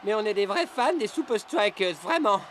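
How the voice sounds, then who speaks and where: A man's voice: high voice, lively intonation, normal speed, fairly bright tone, a little hiss or hum. A man voicing an eager, over-enthusiastic fake football fan in a French cartoon. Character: eager, chirpy male impostor football fan.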